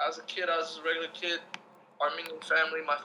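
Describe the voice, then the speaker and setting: A man's voice: on the high side, completely flat delivery, very fast, bright, forceful tone, slight background noise, rough recording. A man in his thirties, from Lebanon and raised in the US, talking as an interview guest in a laid-back, rambling way with lots of fillers. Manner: laid-back, rambling, many fillers like "you know what I'm saying". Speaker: guest, breakdancer. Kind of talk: casual interview chat.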